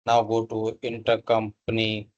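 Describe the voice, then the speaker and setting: Slow-talking man giving a software tutorial. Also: lowish voice, monotone, lo-fi sound. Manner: halting, instructional, pausing between short phrases. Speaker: software instructor. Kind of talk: step-by-step screen tutorial narration.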